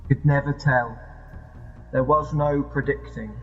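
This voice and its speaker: A man's voice: medium pitch, steady tone, a little slow, a bit bright, faint music behind, lightly acted. An actor playing a woman's terse, needling former lover as a haunting voice in an audio drama. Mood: accusing, terse. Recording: long hall reverb, dull muffled sound.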